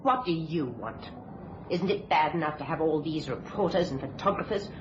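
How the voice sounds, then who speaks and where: Haughty woman, animated and indignant. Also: low voice, normal speed. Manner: indignant, curt. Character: haughty, defensive female adulteress. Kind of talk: radio thriller acting. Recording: dull, clearly noisy radio sound.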